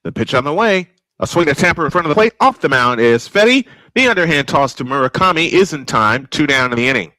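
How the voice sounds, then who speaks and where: A man's voice: on the high side, lively intonation, fairly quick, sharp tone, no echo, low-quality recording. A man calling live baseball play-by-play in a folksy, old-school broadcaster cadence. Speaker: play-by-play announcer.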